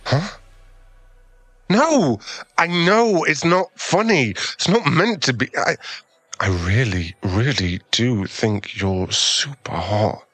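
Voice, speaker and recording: A man's voice: medium pitch, expressive, slow, fairly bright tone, no room sound. A man playing an awkward, kind young gym owner with a crush in an ASMR boyfriend roleplay, speaking in an earnest, hesitant, stammering way. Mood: flustered, embarrassed.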